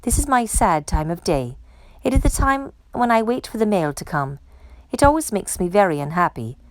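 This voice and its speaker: A woman's voice: medium pitch, big pitch swings, normal speed, no echo, close to an everyday voice, low-quality recording. Woman reading as a fussy, emphatic storybook toad. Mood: glum. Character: fussy, self-conscious, gloomy male toad.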